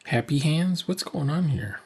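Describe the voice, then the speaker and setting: A man's voice: fairly high pitch, animated, unhurried, darkish tone, husky. A man in his sixties giving casual, unscripted reaction commentary in a sarcastic, grumbling way. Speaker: reaction video host. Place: small cluttered room at home. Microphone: desk mic, unfiltered.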